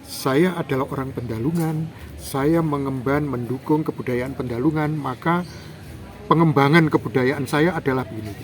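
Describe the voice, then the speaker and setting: A man from Java, an interview guest explaining culture in a lecturing, emphatic way. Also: moderate pace. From Java, Indonesia. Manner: lecturing, emphatic, lively rises in pitch for examples.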